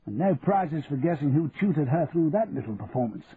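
A man voicing a genial, silly upper-class English gentleman for an audiobook, plummy and spluttering. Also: on the high side, expressive, brisk, voice pushed up, lightly acted. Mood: jovial. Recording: muffled narrow-band audio with hiss.

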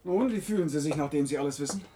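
An actor playing a proud, callous surgeon in a science-fiction radio play, explaining things in an obliging, breezily matter-of-fact way. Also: male voice, a little high, fast talker, lightly acted. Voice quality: mellow, soft-edged. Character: proud, casually callous male surgeon at a clinic. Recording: faintly noisy old radio recording.

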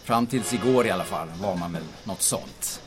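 An actor playing a downcast, self-pitying, out-of-work private detective in a comic radio drama. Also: male voice, low-pitched, over music, close to an everyday voice. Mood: weary.

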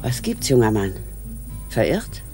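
A woman's voice: very deep voice, big pitch swings, unhurried, dark tone, soft background music, heavily acted. An actress playing a brash, wary, flippant woman in a noir radio play. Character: brash, wary, slender party-loving brunette woman.

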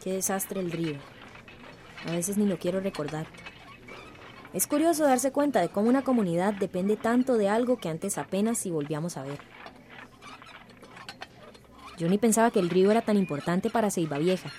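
An actress playing an eager young village activist in a radio drama, effusive and chatty, with a Central American accent. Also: female voice, mid-range voice, expressive, a little fast, warm tone, close to an everyday voice. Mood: sad, reflective. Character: eager young female community activist organizing a village campaign. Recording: faint background noise.

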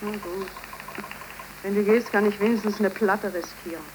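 Actress as sweet eager young seamstress, 1930s german radio play, old slightly noisy recording. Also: female voice, mid-range voice, steady tone, normal speed, lightly acted. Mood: earnest, hopeful. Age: young adult. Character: cheerful, devoted young seamstress in love.

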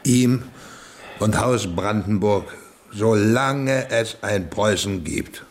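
An actor playing a formal, dutiful royal minister in a German radio play, with a measured declamatory manner. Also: male voice, on the low side, a little hiss or hum. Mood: solemn, ceremonial.

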